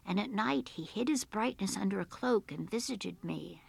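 A woman voicing a thoughtful mother in a folk tale, measured and confiding. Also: medium pitch, lively intonation, vocal fry, a little mellow, slightly rough, dry recording, full character voice. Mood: wistful confiding. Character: thoughtful mother recalling a secret past.

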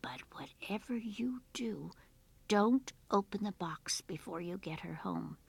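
A woman voicing wise little men, calm advisers in a folk tale, speaking in a hushed, deliberate, knowing way. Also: mid-range voice, gravelly, slightly rough, dry recording, exaggerated voice. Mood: grave advising.

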